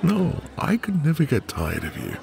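A man playing a tender, devoted newlywed husband in a soft, intimate, romantic ASMR roleplay with lingering pauses. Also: on the high side, huge pitch swings, gravelly, exaggerated voice. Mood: tender, happy. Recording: music behind the voice. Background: music.

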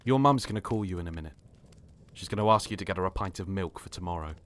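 A man performs a mysterious stranger in a quiet drama. His voice is low, and he speaks gently and earnestly, with a slight hesitance. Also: expressive, fast, slight background noise. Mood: earnest, insistent. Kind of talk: naturalistic drama script reading.

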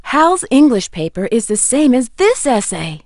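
A young woman detective in a video game, curious and plucky. Her voice sits a little high and she enunciates clearly as she questions. The recording is dry, with no room around it. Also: very animated, moderate pace, darkish tone, no room sound. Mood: pondering. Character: Nancy Drew, curious plucky young female amateur detective.

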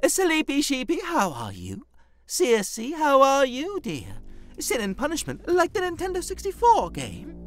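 A woman's voice: fairly high pitch, heavily acted. A woman playing a sweet, whimsical, chatty mobster's sister in a cartoon audio drama. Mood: whimsical, friendly.

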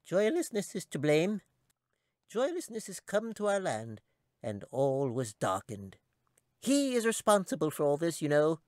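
A man voicing a weary, cranky little male talking chipmunk with a squeaky voice in a fantasy audiobook. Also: huge pitch swings, unhurried, darkish tone, no room sound, studio quality. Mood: weary, gloomy. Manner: scolding, gloomy warnings. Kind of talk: audiobook character voice.

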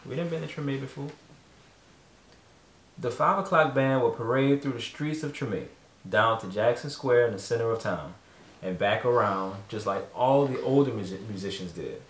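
A man's voice: medium pitch, moderate pace, fairly bright tone. A man in his thirties, a father casually reading a storybook aloud to his children at home. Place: carpeted room in a home, indoors. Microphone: distant camera microphone. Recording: roomy echo from a distant camera.

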